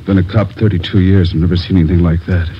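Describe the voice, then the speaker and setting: An older actor playing a grim, gruff veteran police chief who speaks tersely and with command in a radio drama. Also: male voice, deep voice, steady tone, fast, lightly acted. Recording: muffled, noisy vintage radio recording.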